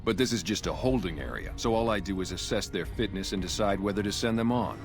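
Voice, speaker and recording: A man's voice: lowish voice, quick pace, soft background music, lightly acted. A man playing a stern, contemptuous, zealous slave master with a haughty, formal manner in a video game. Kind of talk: video game dialogue acting.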